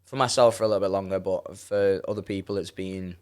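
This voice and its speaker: A young man with a Scouse accent, a rapper and producer chatting casually as an interview guest, relaxed and quick to laugh. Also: deep voice, clear, resonant tone. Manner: relaxed, laughs often, trails off into fillers.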